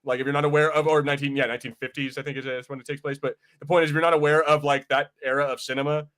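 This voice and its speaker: Very fast-talking man on a casual podcast. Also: a little high, little pitch movement, a bit bright. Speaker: podcast host. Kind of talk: casual pop-culture podcast chat.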